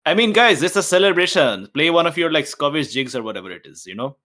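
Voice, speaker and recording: A man's voice: a little high, expressive, fast, sharp tone. A man playing a tabletop game, slipping casually between chat and in-character lines in a playful, informal way.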